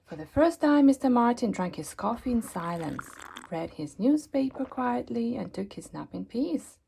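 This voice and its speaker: A woman reading a children's story aloud expressively, with dramatic emphasis and pauses. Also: fairly high pitch, creaky, dark tone, breathy edge. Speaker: storybook reader.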